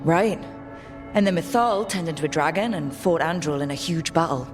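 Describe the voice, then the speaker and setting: A woman playing a wry, quick-witted investigator companion, conversational, in fantasy game dialogue. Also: low-pitched, music in the background, close to an everyday voice.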